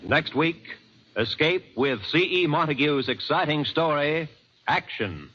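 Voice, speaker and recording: A man's voice: a little high, expressive, unhurried, bright, forceful tone. An American man announcing formally and emphatically in the style of 1940s radio. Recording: muffled old radio recording, faint noise.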